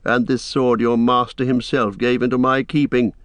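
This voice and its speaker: A man's voice: medium pitch, flat delivery, moderate pace, fairly bright tone, no echo, natural voice. A cringing, scheming counsellor to a king, performed by a male narrator in a storybook tale. He fawns and wheedles in a sly whine, always obsequious. The recording is dry and close. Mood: oily, fawning.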